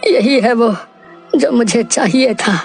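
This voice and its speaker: A woman's voice: fairly high pitch, slow, gravelly, faint music behind. A woman voicing a poor, honest farmer man in a cartoon moral story, speaking in an earnest, imploring way. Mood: relieved, thankful.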